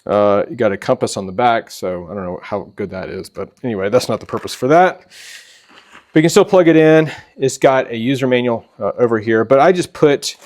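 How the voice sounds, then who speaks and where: A man hosting a casual product walkthrough, talking in an informal, halting and rambling way. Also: mid-range voice, a little mellow. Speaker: host of a preparedness channel.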